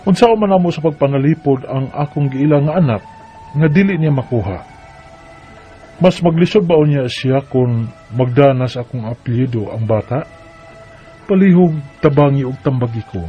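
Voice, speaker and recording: A man's voice: medium pitch, moderate pace, pauses often, lightly acted. A man with a Cebuano Filipino accent reading as an earnest, worried husband seeking advice, in the style of a radio drama letter. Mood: earnest, pleading. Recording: dull radio sound over music.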